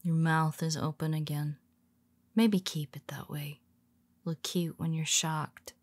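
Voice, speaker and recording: A woman playing a weary, teasing female superhero who speaks softly to her nemesis, often dropping to a whisper. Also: low-pitched, flat delivery, takes it slowly, dry recording. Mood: wry teasing. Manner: teasing, soft-spoken, slips into whispers. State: tired. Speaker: audio roleplay performer. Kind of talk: intimate audio roleplay.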